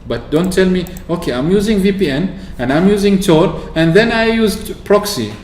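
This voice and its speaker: A man from Oman chatting casually through a software walkthrough, explaining with fillers and restarts. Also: sits high, lively intonation, normal speed, a little hiss or hum. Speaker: tech video host. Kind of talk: screen-recorded software walkthrough. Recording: echoey room with faint ambience.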